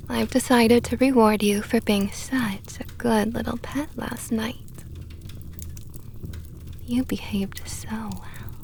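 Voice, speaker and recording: A woman voicing a possessive, flirtatious female vampire knight in an ASMR roleplay, talking softly and often in a whisper with a teasing tone. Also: fairly high pitch, creaky, a little hiss or hum.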